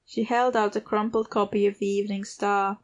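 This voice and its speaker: A female audiobook narrator reads literary fiction at a middle pitch. Her intonation stays even and restrained from phrase to phrase. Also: fairly quick.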